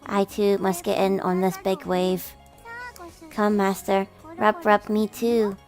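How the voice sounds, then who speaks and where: A woman voicing a cool, deadpan cat girl from a visual novel, speaking in a flat, formal, measured way. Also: mid-range voice, faint music behind. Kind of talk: visual novel character voice in a let's play.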